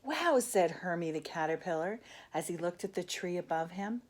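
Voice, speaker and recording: An older woman reading a children's storybook aloud in an expressive way, as a grandmother would to young listeners. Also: on the low side, normal speed. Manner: expressive, asks the listeners questions.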